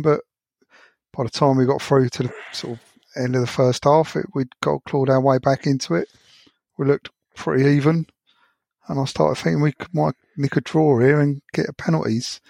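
A man talks through a match in an easygoing, rambling way. His voice has a gravelly, creaky edge, and the sound is dry and close. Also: a little high, darkish tone, dry recording. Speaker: podcast co-host. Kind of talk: casual football chat.

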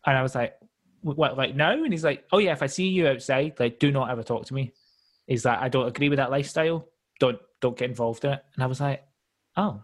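A man's voice: medium pitch, fast talker. A man, telling stories in an easygoing, casual chat. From Glasgow, Scotland.

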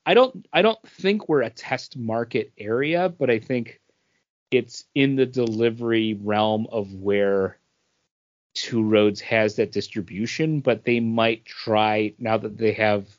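A man's voice: fairly low pitch, dry recording, highs cut off. A man in his forties chatting casually as a podcast co-host, rambling along and often repeating his phrases. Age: 40s. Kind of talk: casual chat, beer review.

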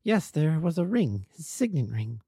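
A man's voice: a little high, very animated, normal speed, warm tone, slightly rough, no room sound, studio quality, pitched above the performer's own voice, exaggerated voice. A man putting on an anxious Victorian wife.

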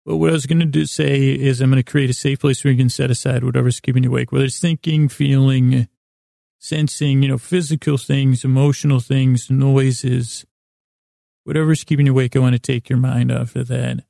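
A man with a heavily creaky, gravelly voice delivers a meandering bedtime monologue. His tone is mellow and his pitch stays fairly flat as he halts, restarts and drifts into silly tangents. The recording is close and dry. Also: no echo. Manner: meandering, halting, self-interrupting, with stumbles and tangents. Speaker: sleep podcast host. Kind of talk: rambling comic bedtime monologue.

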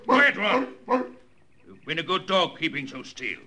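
An actor playing a menacing farmer with a German accent, barking orders in stilted formal English in old radio-drama style. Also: male voice, a little high, very animated, measured pace, sharp tone, heavily acted. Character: menacing German farmer holding captives at gunpoint. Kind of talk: 1950s radio drama acting.

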